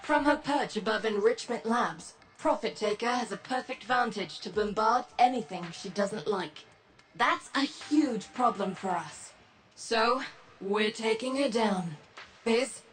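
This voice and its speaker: Actress as female resistance leader, high voice, terse, authoritative, clipped orders, video game briefing, music underneath. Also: a little slow, natural voice. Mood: grim, businesslike.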